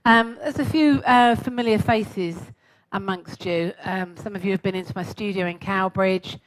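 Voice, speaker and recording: A woman's voice: mid-range voice, rough recording. A woman in her sixties with a London accent gives a chatty, self-mocking talk to a live audience and asks them questions. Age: 60s. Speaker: guest speaker.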